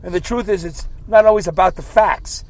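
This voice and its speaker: A man hosting his own podcast alone, rambling in a casual monologue from inside his car, repeating himself as he thinks out loud. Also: high-pitched, a little fast. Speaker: podcast host.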